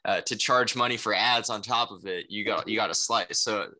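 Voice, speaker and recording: A man's voice: lowish voice, normal speed, bright, forceful tone. A young man who speaks Mandarin Chinese natively, answering as a casual interview guest in a rambling way full of conversational tags. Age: young adult. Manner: rambling, frequent "you know" and "right?" tags. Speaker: interview guest, salesperson and rapper.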